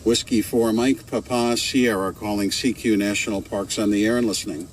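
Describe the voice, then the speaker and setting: A man's voice: on the low side, steady tone, moderate pace, far from the mic. A man working as an amateur radio operator, making short, clipped contact exchanges. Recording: noisy field recording, slightly off mic.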